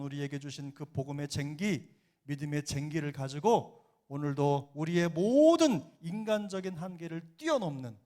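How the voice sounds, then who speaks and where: A middle-aged man preaches a sermon in a church, his voice sitting a little high. He speaks earnestly with a slight Jeolla accent. He swells into emphatic exhortation. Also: darkish tone. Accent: Jeolla dialect. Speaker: senior pastor. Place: church sanctuary.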